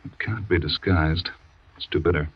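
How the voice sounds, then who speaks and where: An actor playing a cold, scheming husband in a 1940s radio thriller, speaking low and curt on a dull old broadcast. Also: male voice, moderate pace, slightly rough, no room sound. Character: cold scheming husband, middle-aged man.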